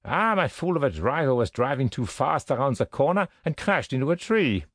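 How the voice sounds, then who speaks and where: A man's voice: mid-range voice, big pitch swings, brisk, fairly bright tone, no room sound, clean studio sound, pitched above the performer's own voice. A man voicing a haughty, wry German SS captain in an audiobook, pompous and over-polite with open disdain.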